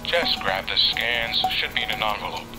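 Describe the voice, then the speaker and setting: An actor playing a dry, sarcastic older mentor in a fan audio drama. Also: male voice, fairly low pitch, animated, normal speed, close to an everyday voice, low-quality recording. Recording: loud background music.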